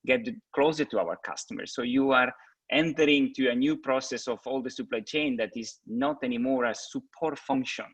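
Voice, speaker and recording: A man who grew up in Switzerland, a company executive answering questions in a webinar, talking haltingly with many restarts. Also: medium pitch, a bit bright, slightly rough, no room sound. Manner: hesitant, many restarts and fillers. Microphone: video call.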